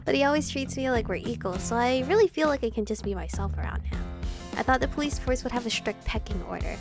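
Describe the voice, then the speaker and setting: High, creaky young woman, playful gamer. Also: animated, quick pace. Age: young adult. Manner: chatty, playful. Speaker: gaming video host. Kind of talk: let's play commentary, reading game dialogue aloud. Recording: game music behind the voice.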